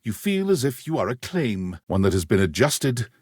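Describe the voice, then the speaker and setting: A man playing a deadpan storybook narrator in a comic romance game, reading scripted narration in a measured way. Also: mid-range voice, breathy edge, no room sound, studio quality. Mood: deadpan, dramatic.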